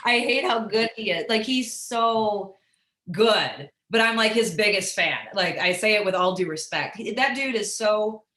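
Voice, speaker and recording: A middle-aged woman with a raspy alto voice, chatting casually and candidly as an interview guest, swearing freely and peppering her talk with filler phrases. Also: medium pitch, unhurried. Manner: animated, profane, peppers talk with "like" and "you know". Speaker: interview guest, musician and comedian. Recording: roomy echo.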